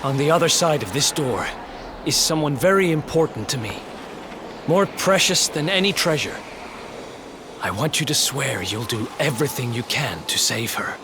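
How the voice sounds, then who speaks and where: Man acting young male game adventurer, earnest, guilt-laden, confiding, lively pitch movement, noise behind. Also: moderate pace, close to an everyday voice. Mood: earnest, sombre.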